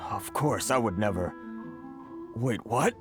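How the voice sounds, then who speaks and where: A man's voice: fairly low pitch, lively intonation, takes it slowly, over music. A man playing a fretful, humble, exasperated merchant in a video game, often hesitant. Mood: flustered, grateful. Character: fretful, downtrodden foreign male merchant, humbly deferential. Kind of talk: video game dialogue acting.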